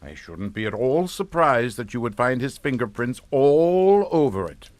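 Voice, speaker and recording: Pompous, scheming father for radio play, condescending, lively intonation, dry studio sound. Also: medium pitch, a little slow, no echo. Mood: pompous, dismissive. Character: domineering, pompous English family patriarch, coolly scheming father. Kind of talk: radio drama acting.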